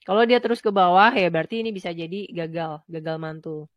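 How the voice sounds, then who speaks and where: Lady w a blocked nose teaching trading, chatty, lowish voice, dull lofi mic. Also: fast talker, no echo. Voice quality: nasal, congested. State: has a cold. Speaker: stock trading instructor. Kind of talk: informal online trading tutorial, explaining charts. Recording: dull lo-fi close dry audio.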